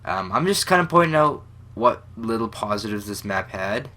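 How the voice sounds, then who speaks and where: Young male gaming host, congested and stuffy, bright tone, low-ish voice, loose rambling commentary. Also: lively intonation, moderate pace. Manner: rambling, self-deprecating, many fillers and restarts. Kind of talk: casual gameplay commentary.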